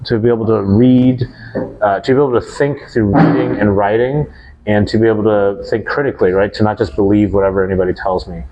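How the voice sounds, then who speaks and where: A man in his forties from the United States, a teacher answering interview questions in a thoughtful, measured way. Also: on the low side, steady tone, lo-fi sound, music in the background. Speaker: guest, educator. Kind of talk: casual podcast interview.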